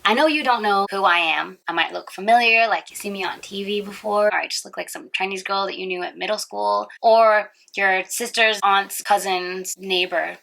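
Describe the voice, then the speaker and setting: Playful, gravelly-voiced woman from Singapore. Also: mid-range voice, fairly even intonation, moderate pace, hardly any pauses, a bit bright, low-quality recording. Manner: playful, self-mocking. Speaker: singer and host. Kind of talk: scripted personal narration.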